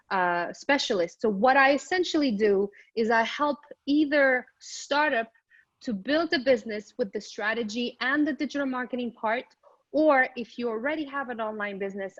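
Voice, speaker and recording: A woman's voice: on the high side, fairly bright tone, no echo, dull audio. A middle-aged woman from Morocco giving an upbeat, chatty business presentation remotely, with frequent ums and restarts. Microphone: remote call microphone.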